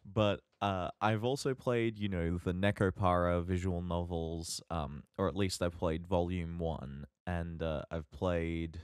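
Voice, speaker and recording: A man co-hosting a podcast, chatting casually in a halting, wordy, measured way. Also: low-pitched, steady tone, clean tone, dry recording, clean studio sound. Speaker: podcast co-host.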